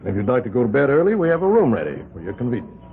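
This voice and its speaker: A courteous, insinuating host of a lonely house in an old radio play. His gravelly voice rises and dips freely through a tinny recording. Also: mid-range voice, huge pitch swings, very fast. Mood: sly hospitality. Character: courteous, eerily hospitable male host of a remote house. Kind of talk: old-time radio drama acting.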